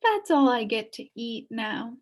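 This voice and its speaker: A woman's voice: fairly high pitch, big pitch swings, unhurried. A woman voicing a sad, wistful elderly woman recounting her downfall in a storybook. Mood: sad, resigned. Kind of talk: storybook character reading.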